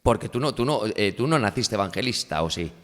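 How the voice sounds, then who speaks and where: A male host whose pitch swings widely as he talks, heard with a long reverb tail in a hall. He cuts in often and restarts his questions mid-sentence, steering the conversation. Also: lively intonation, brisk, fairly bright tone. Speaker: interviewer host. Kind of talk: casual interview.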